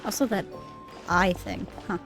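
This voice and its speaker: A woman's voice: mid-range voice, steady tone, measured pace, fairly bright tone. A woman live streamer chatting casually as she plays a video game, rambling, giggly and free with swearing. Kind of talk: casual gameplay stream chat. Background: game music.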